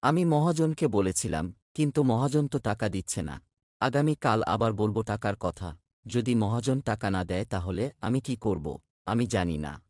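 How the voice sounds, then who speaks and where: Man as a low-voiced, humble village father. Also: lively intonation, moderate pace, darkish tone, dry recording, clean studio sound, natural voice. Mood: helpless. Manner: meek, apologetic.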